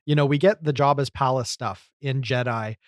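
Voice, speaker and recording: A man's voice: medium pitch, fairly even intonation, moderate pace, no echo, studio quality. A man co-hosting a podcast, talking casually and at length in a chatty, explanatory way. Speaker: podcast co-host. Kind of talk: casual discussion and commentary.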